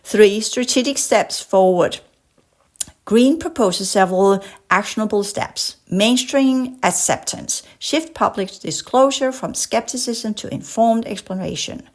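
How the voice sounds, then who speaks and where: A woman's voice: medium pitch, unhurried. A woman from Denmark with a Danish accent, a podcast host who reads an article aloud and breaks off into impassioned commentary, sometimes stumbling over long words. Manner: passionate, digresses into rants.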